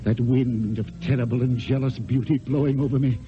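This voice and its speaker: A man's voice: medium pitch, steady tone, noisy background, natural voice. Man playing an ardent poet, dull old recording. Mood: ardent, rapturous. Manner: lyrical, ardent declamation with dramatic pauses. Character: passionate eloquent male poet secretly wooing from the shadows. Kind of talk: mid-century theatrical classical stage acting.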